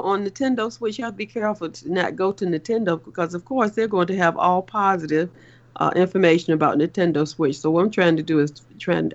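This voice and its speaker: A woman's voice: medium pitch, dull audio. A woman calling in to her son's radio show, chatty and fussy, firing off many questions. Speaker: caller, host's mother. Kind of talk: casual call-in chat.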